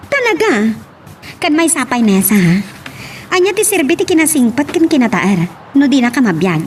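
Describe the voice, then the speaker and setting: A woman's voice: high voice, very animated, normal speed, a little hiss or hum. A woman playing a bitter, poverty-weary young woman in an Ilocano audio drama. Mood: exasperated.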